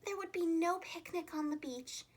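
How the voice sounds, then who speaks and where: A woman reading a children's storybook aloud in an expressive, carefully enunciated preschool-teacher style. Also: extremely high pitch, normal speed.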